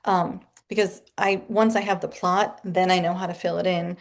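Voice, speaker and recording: A woman speaking as a guest author in a casual interview from her home office, chatty and animated and laughing often. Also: on the low side, normal speed.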